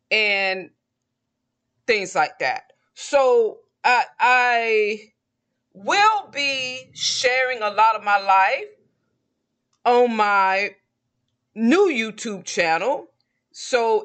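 A man in his forties speaks with a Southern American accent and a high, bright voice. He talks casually and reflectively, often restarting a thought before he finishes it. It sounds like a personal vlog addressed straight to his viewers. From Southern United States. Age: 40s. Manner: rambling and reflective, with frequent restarts. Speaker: vlogger. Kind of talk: casual monologue to camera.

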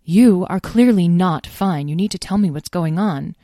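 A woman voicing a caring mother dragon in a children's story, worried and motherly, gentle but firm. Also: lowish voice, moderate pace, no echo, studio quality, natural voice. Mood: concerned.

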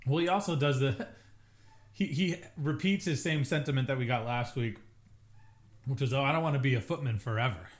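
A young man co-hosting a podcast, chatting casually and jokingly about a TV episode. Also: medium pitch. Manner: chatty, jokey, quick asides. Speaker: podcast co-host. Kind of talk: casual TV recap chat.

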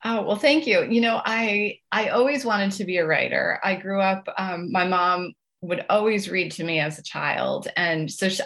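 A middle-aged woman with a slightly low voice answers as a guest author in an interview. She talks in a chatty, conversational way, softening her points with small hedges as she goes. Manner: chatty, hedging with "you know" and "I guess".

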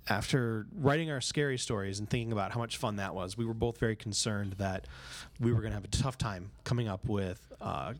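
A man's voice: on the low side, fairly quick, hardly any pauses. A man co-hosting a podcast while sick, talking in a scratchy voice in a rambling casual chat. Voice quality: scratchy, gravelly. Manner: rambling, self-interrupting, laughs easily. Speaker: podcast co-host.

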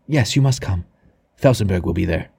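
A man reading the part of an excited, commanding husband in a novel, speaking in brisk, urgent, clipped phrases. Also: low-pitched, vocal fry, slightly rough, exaggerated voice.

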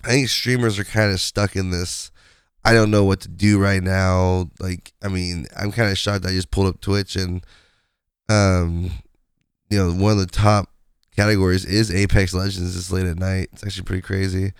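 A man with a low, raspy voice from a sinus infection, chatting casually on his podcast. He rambles along with plenty of fillers. The sound is close and dry, with no room around it. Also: flat delivery, normal speed, dry recording. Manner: rambling, conversational, many fillers. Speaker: podcast host. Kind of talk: casual solo podcast chat.

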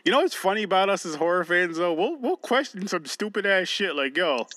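A man's voice: high-pitched, expressive, fairly quick, sharp tone, no room sound, studio quality. A man co-hosting a podcast, chatting loosely with a friend, joking and swearing as he goes. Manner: loose, joking, profane banter. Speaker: podcast co-host. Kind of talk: casual chat.

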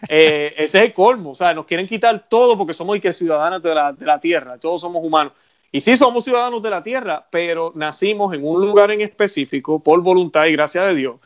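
A man's voice: fairly high pitch, highs cut off. A man with a Puerto Rican Spanish accent hosting a talk show, speaking earnestly in a preaching tone with religious references. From Puerto Rico. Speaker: radio show host.

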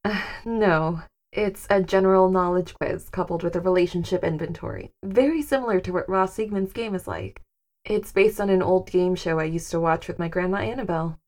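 A woman's voice: fairly low pitch, moderate pace, a little mellow, close to an everyday voice. A woman playing a practical, guarded wife hosting guests in an audio drama, polite and matter-of-fact. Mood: explaining.

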